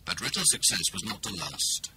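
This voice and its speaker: A solemn narrator, played by a man with a fairly low voice. He delivers scripted history for a radio play, with clear noise behind him. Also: wildly swooping pitch, normal speed.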